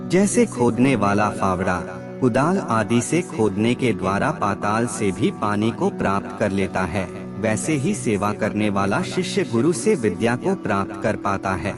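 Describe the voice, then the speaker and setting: A man reading scripted maxims as a narrator, in a formal, measured and deliberate way. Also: on the low side, sing-song, music in the background.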